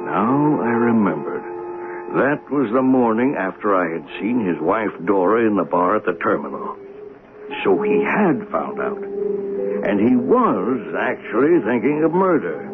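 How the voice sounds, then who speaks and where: A man's voice: fairly low pitch, big pitch swings, measured pace, over music. An actor playing an earnest train conductor in a 1970s radio mystery, telling his story reflectively and questioning pointedly. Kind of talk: 1970s radio drama acting, narration and dialogue. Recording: noisy, muffled phone-like 1970s radio broadcast.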